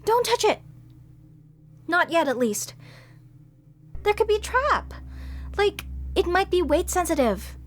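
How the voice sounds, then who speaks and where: A woman voicing a sweet, excitable, bookish museum curator in an audio roleplay. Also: extremely high pitch, takes it slowly, pauses often, heavily acted. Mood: explaining. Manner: eager, explaining, gushing with excitement. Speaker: voice actor.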